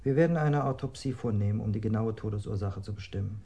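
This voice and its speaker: A young male hospital doctor in a radio crime drama. He is matter-of-fact and clinical, with a mellow, gravelly, breathy voice. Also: fairly low pitch. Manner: clinical, measured.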